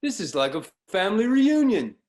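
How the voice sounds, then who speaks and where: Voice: medium pitch, very animated, moderate pace, a little mellow. A performer playing a blunt orc of few words, terse and emphatic, at a table read.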